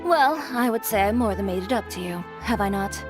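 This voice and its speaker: Woman playing a poised designer, composed, dry teasing tone, mid voice, game music clear behind. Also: mid-range voice, animated, a little fast, close to an everyday voice. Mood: cool. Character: cool, poised young female fashion designer. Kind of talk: game voice acting.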